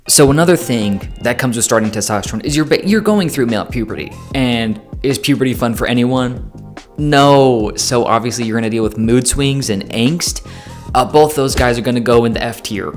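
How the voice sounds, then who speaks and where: A young man in his twenties chats straight to camera in an easygoing vlog, tossing in jokes and plenty of fillers. His voice sits at an ordinary middle pitch, and soft music plays faintly underneath. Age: 20s. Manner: chatty, lots of fillers and self-corrections; playful, jokey. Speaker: vlogger. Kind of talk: casual talk to camera.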